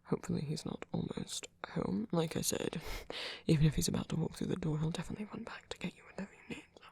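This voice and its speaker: A man playing a sweet, gentle vampire boyfriend in an ASMR roleplay, speaking softly, breathily and tenderly with some teasing. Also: fairly high pitch, fast, creaky, slightly rough, heavily acted. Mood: fussing.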